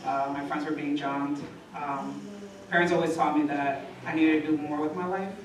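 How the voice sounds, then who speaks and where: A man's voice: on the high side, steady tone, normal speed. A man from Puerto Rico and Milwaukee, a police officer, answering casually on a panel in short plain sentences. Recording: echoey hall, distant mic, background noise.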